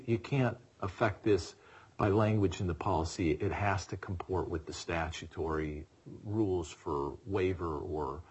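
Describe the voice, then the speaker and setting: A man presiding as a judge, questioning lawyers in court with a probing, halting manner. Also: low-pitched, darkish tone, slightly rough, lo-fi sound. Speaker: appellate judge.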